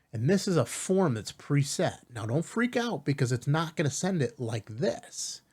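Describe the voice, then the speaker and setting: A man with a slightly husky voice presents a tutorial in a casual, explanatory way. His pitch moves around in a lively manner at a middle height as he guides the listener step by step. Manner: explanatory, checks in with "right?". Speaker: tutorial host.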